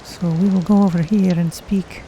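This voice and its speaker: A streamer voicing a gentle cat-folk adventurer in a video game roleplay, speaking in deliberate, formal phrases as if musing aloud. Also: medium pitch, steady tone, a little hiss or hum, natural voice, low-quality recording. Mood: musing, calm.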